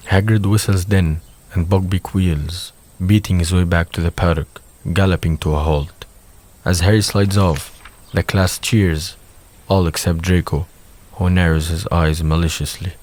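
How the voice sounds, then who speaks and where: A man's voice: low voice, fairly even intonation, a little slow, a little mellow. A man reading scripted narration for an audio drama.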